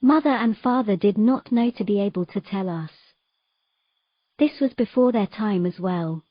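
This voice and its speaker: A woman's voice: mid-range voice, moderate pace, no room sound, natural voice. Female actor as young mermaid, mid-range voice, wistful, earnest, fantasy audio drama, dry and slightly muffled recording. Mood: wistful storytelling.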